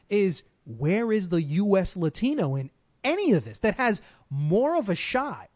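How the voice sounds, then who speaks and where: A man with a notably high voice hosts a relaxed talk. He speaks animatedly and circles back over repeated words while he gathers his thoughts. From United States. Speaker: host. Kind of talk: casual chat.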